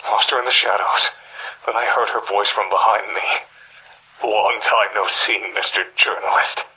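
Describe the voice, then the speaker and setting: Husky, haunted middle-aged journalist. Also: male voice, lowish voice, steady tone, moderate pace, exaggerated voice, rough recording. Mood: shaken dread. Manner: grave, brooding, breathy half-whispers. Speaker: voice actor in a game's audio tapes. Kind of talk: dramatic scripted monologue on cassette tape. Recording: sharp, gritty cassette-tape voice recording.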